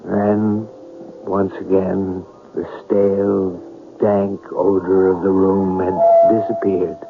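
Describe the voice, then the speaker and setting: Haunted lovesick man in old radio play, slow halting delivery, thin tinny sound w music. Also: on the low side. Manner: slow, dramatic, halting phrasing. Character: haunted man narrating ghostly voices, lovestruck and anguished. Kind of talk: 1970s radio drama acting. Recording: tinny 1970s radio broadcast.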